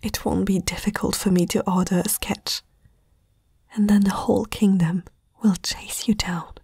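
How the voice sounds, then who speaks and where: A woman's voice: medium pitch, little pitch movement, dark tone, no room sound, studio quality. A woman as a whispering, haughty young princess. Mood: defiant, fearful. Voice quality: breathy, whispery. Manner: haughty, formal, halting. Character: proud, frightened eighteen-year-old princess confronting a night intruder. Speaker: ASMR roleplay performer. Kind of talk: whispered ASMR roleplay acting. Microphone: close microphone, dry.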